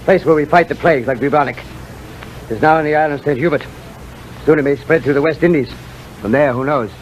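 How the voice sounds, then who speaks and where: A man plays a boisterous plague doctor in a 1930s radio drama. His voice is rather high for a man, jovial and coaxing, over the hiss of an old broadcast. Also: fairly quick, lo-fi sound, lightly acted. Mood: eager, persuasive. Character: hearty, boisterous foreign doctor and plague fighter.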